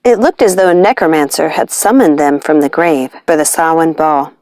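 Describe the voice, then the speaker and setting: A woman's voice: low-pitched, normal speed, darkish tone, no room sound. A woman narrating a scripted story as an audiobook reader.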